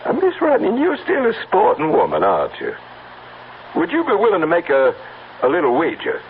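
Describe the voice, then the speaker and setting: An actor playing a cocky, persistent, smooth-talking horseman in an old-time radio drama. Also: on the high side, huge pitch swings, moderate pace. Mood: cocky, wheedling. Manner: smooth-talking, insistent, self-assured. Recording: tinny band-limited old radio recording with hiss.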